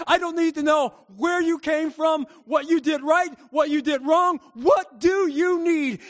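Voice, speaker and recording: A man's voice: extremely high pitch, no echo. A male pastor delivering a sermon to a church congregation, preaching emphatically and calling on them to answer back. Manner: emphatic preaching with audience call-and-response. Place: church service before a congregation.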